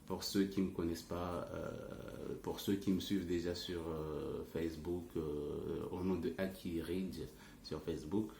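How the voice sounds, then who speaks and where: A man with a very low voice talks to his followers like an informal religious teacher. He is not a native French speaker and speaks haltingly, searching for the next word. The room echoes around him, with faint noise behind. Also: fairly even intonation, gravelly, mellow. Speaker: religious teacher and ruqyah healer. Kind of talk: informal explanatory talk to online followers. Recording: roomy echo, faint background noise.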